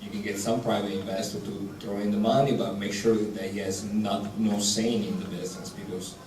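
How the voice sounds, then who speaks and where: A man's voice: lowish voice, steady tone, fairly quick, a little mellow. A man from Italy with an Italian accent, an executive chef giving blunt, practical advice on a panel in a large hall. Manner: direct, practical, with broken grammar. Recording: echoey hall, distant mic, light noise.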